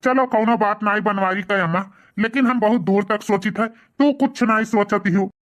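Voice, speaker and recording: A man's voice: high-pitched, moderate pace, fairly bright tone. A man voicing a grumbling but concerned old village husband in a cartoon comedy, scolding and questioning, with an eastern Uttar Pradesh accent. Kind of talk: cartoon comedy dialogue in rural dialect.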